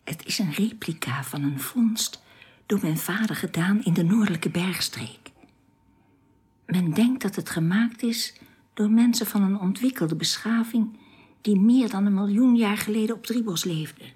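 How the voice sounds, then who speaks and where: An actress playing an earnest, tender, youthful alien woman in a radio drama, with precise, formal phrasing that often turns hushed. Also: medium pitch, a little slow, slightly rough.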